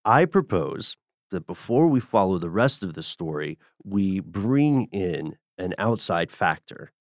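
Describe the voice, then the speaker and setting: American male podcast co-host, lowish voice, dry sound. Playful, wry storyteller with mock-formal wording. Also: big pitch swings, unhurried, no echo.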